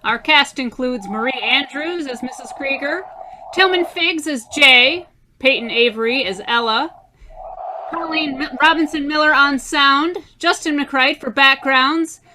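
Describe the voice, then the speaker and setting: A woman narrating and announcing an old-time radio drama in a measured, scripted delivery. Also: high-pitched, background noise.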